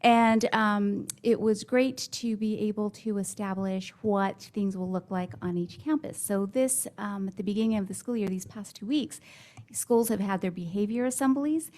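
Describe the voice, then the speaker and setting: A woman giving a lively, casual staff report at a school board meeting, with fillers like "um" and "you know". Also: medium pitch, moderate pace. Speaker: district staff presenter.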